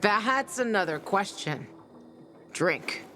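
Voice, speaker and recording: A woman's voice: mid-range voice, animated, slow, bright, forceful tone, a little hiss or hum. An actress playing a hard-bitten, sardonic young woman in a video game, blunt and guarded. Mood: bitter, downcast. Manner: blunt, dry, profane. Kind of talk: video game character acting.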